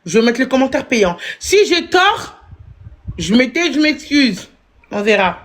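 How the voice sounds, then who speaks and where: A woman's voice: high voice, big pitch swings, unhurried, slight background noise. A woman hosting a casual live stream, teasing and arguing in a playful way and repeating her points. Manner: teasing, argumentative, repeats herself. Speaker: livestream host.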